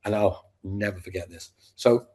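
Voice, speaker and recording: Husky-voiced Scottish man with a neutral British accent. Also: on the low side, normal speed. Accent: neutral British, not Scottish-sounding. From Scotland. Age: 50s. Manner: lively storyteller acting out his past self in quotes. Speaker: podcast guest, sales founder. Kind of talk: animated storytelling in casual chat.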